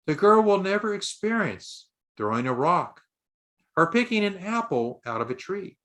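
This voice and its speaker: A man narrating a scripted story in a deliberate, dramatic audiobook style. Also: high-pitched, sing-song, fairly bright tone.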